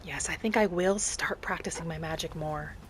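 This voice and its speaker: A woman narrating a game roleplay in character as a gentle, hopeful young elf adventurer, softly musing aloud. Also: on the low side, moderate pace, a little hiss or hum. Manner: soft, musing, speaking thoughts aloud. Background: music.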